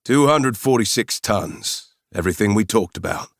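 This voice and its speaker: A man voicing a gruff, blunt South African mercenary leader in his late forties, with a South African accent and a gravelly voice. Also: on the low side, lively intonation, normal speed, no echo, studio quality, voice pushed up. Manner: blunt, surly.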